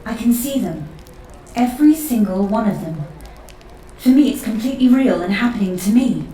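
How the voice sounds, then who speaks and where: A woman's voice: fairly high pitch, animated, moderate pace, mellow, reverberant, slight background noise, close to an everyday voice, poor audio. A woman playing an intent, uncanny investigator who senses disturbances in time, in an audio drama. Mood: entranced. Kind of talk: fan-made audio drama acting.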